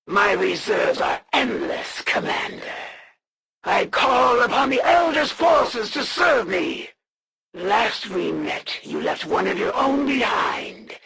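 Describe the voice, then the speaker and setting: An actress voicing a proud, cold alien assassin in a video game, speaking in a formal, theatrical, deliberate way. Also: female voice, medium pitch, vocal fry, heavily acted. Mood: proud, commanding. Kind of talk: video game villain voice lines.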